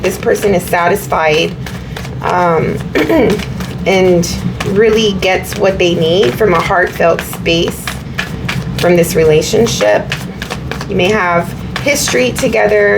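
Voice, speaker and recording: A woman giving a casual tarot reading to her viewers, slightly hoarse, talking in a meandering way with many fillers and repeats. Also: lowish voice, noisy background. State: slightly hoarse, recovering from illness. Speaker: tarot reader.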